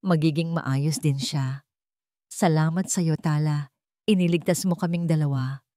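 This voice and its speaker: Woman narrator as wealthy man, remorseful, gentle, halting pleas, storybook drama, dry recording. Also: low voice, fairly even intonation, no echo. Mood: grateful, humble. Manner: halting, earnest pleading.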